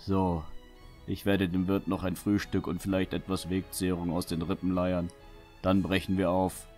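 A man's voice: sits low, level tone, measured pace, long pauses, darkish tone, soft background music. A man playing a roguish, weary travelling knight with a wry, familiar manner in an audio drama. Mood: wry, resigned.

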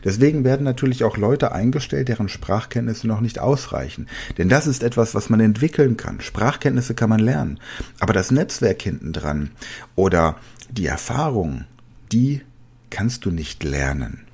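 A man's voice: lowish voice, animated, normal speed, darkish tone, slightly rough. A man in his forties hosting a solo podcast, coaching listeners directly in a conversational way with casual asides. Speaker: podcast host and language trainer.

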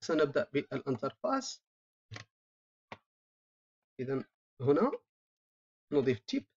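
A programming instructor, explaining code while typing, thinking aloud with frequent drawn-out filler sounds. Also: mid-range voice, big pitch swings, darkish tone, husky. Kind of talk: screen-recorded coding tutorial. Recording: dull lo-fi voice-over mic.

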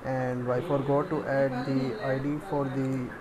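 A man instructing step by step in a screen tutorial, thinking aloud in halting, pieced-together phrases. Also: mid-range voice, flat delivery, over a noisy crowd, poor audio.

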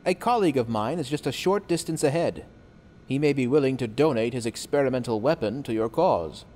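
A man playing a nervous, apologetic scientist in a video game, talking formally and wordily with halting asides. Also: medium pitch, animated, a little mellow, natural voice. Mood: instructing, confiding. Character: nervous, apologetic, talkative male scientist in a secret lab. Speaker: game character. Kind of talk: video game dialogue acting. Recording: long hall reverb, faint background noise.

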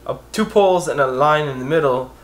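A young man with a fairly high voice talks straight to the camera in a casual vlog. He is earnest and animated, and his pitch climbs when he presses a point. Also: fairly quick. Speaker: vlogger on blindness. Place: indoors, a green-screen backdrop of store photos.

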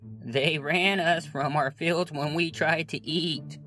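A man's voice: fairly high pitch, fairly even intonation, slow pace, pushed and bright, soft background music. A man voicing a worried sheep in a storybook, plaintive in tone.

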